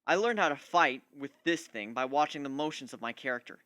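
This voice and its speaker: A man's voice: mid-range voice, animated, a little fast, pushed and bright, no echo. A man voicing a cocky, scheming male griffin in a dramatic story reading, talking in a boastful, glib way. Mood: matter-of-fact. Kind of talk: dramatic reading of fan fiction.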